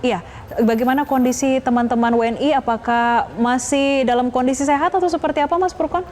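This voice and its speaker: A woman news anchor reading the news and interviewing a guest in a formal, measured manner. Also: high voice, fairly even intonation, a little mellow, a little hiss or hum.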